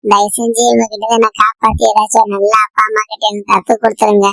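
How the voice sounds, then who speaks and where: A woman's voice: mid-range voice, level tone, fast talker, gravelly, a bit bright, dry recording. A woman voicing a cheeky, whiny, scheming little boy in a comedy cartoon, dramatic and pleading. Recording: music behind the voice.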